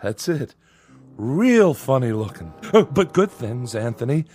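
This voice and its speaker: An actor playing a nervous American farmer father in a radio drama, folksy and halting, forcing cheerful agreement to placate his son. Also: mid-range voice, sing-song, darkish tone, husky, faint music behind, heavily acted. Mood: anxious false cheer.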